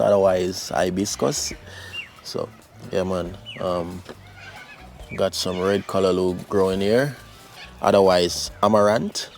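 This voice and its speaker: A man from Jamaica with a Jamaican accent, chatting casually in his backyard garden as a channel host, laid-back and full of fillers. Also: sits low. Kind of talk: casual garden vlog chat.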